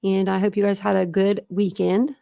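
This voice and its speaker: A young woman, chatting casually on a livestream and rambling with lots of fillers, her voice going hoarse. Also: mid-range voice, flat delivery, normal speed, warm tone, no echo. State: losing her voice, throat clearing. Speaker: true crime streamer.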